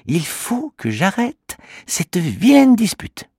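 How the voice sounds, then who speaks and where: Man doing a tiny earnest storybook creature, high, husky, slow. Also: huge pitch swings, dry recording, clean studio sound, exaggerated voice. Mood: resolute. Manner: sincere, gently indignant. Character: little green living creature, small, earnest. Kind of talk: children's storybook reading.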